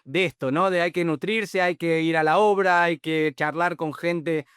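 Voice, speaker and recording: High-voiced man hosting a podcast chat. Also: steady tone, normal speed, a bit bright, clear, resonant tone, no echo, studio quality. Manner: rambling, mixes in Portuguese words. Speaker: podcast host. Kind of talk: casual interview chat.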